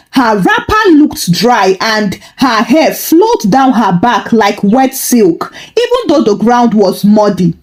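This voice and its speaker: A woman storyteller giving a scripted, dramatic narration of a folktale. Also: medium pitch.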